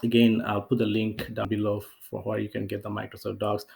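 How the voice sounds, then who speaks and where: A man presenting a software tutorial as a casual, unscripted screen-share walkthrough, explaining in a hesitant, rambling way. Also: on the low side, completely flat delivery, a little fast, gravelly. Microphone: off-camera voice-over for screen recording.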